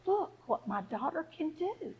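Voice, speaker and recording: A woman's voice: sits high, very animated, a little slow, muffled recording, pitched above the performer's own voice, exaggerated voice. A woman storyteller voicing a scolding, pushy middle-aged mother in a folk tale, emphatic and repetitive. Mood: flustered, eager.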